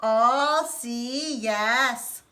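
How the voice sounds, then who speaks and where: A woman voicing an eager, scatterbrained young man in a put-on Italian accent, with loud, drawn-out exclamations. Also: fairly high pitch, full character voice.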